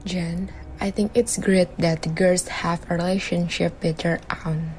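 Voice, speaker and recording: Guarded, slow-spoken woman. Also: fairly low pitch, fairly even intonation, a little mellow, a little hiss or hum, poor audio. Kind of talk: amateur radio drama acting.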